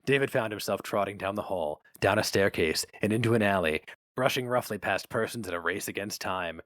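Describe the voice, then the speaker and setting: A man's voice: fairly low pitch, a little fast, a bit bright, no echo. Man narrating, close and dry. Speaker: story narrator. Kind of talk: dramatic scripted narration.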